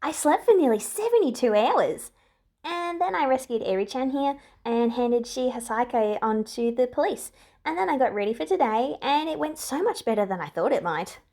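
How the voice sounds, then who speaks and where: A woman's voice: high-pitched, animated, normal speed, warm tone, pitched above the performer's own voice. Woman doing a young heroine, kindly, self-assured, cheerful, audiobook character. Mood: matter-of-fact. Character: bright, confident, kindly teenage girl hero.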